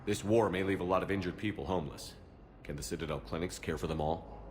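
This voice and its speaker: A man playing a steady, resolute military commander in a dramatic video game. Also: sits low, fast talker, a little hiss or hum, lightly acted. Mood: friendly, concerned. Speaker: soldier hero. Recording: reverberant space, music behind.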